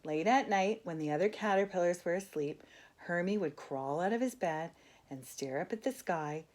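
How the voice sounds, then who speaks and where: Older lady doing storytime for little kids, lowish voice, really expressive, swoops up and down. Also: normal speed. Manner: expressive, asks the listeners questions. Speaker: grandmother reading children's books aloud. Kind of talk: animated read-aloud storytelling for children.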